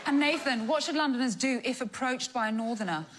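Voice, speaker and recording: An actress playing a poised female news anchor, reading the bulletin in a deadpan newsreader manner, heard on a noisy played-back clip. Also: sits high, a little fast, a crowd in the background, close to an everyday voice. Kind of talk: mock news broadcast.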